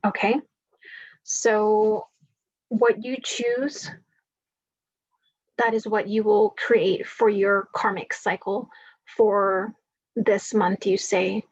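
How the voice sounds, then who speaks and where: A woman with a groggy voice talks through a spiritual forecast, drifting along with plenty of fillers. Her pace is very slow. The recording is dry and a little dull. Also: medium pitch, flat delivery, breathy edge, dry recording. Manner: slow, meandering, many fillers. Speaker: spiritual reader.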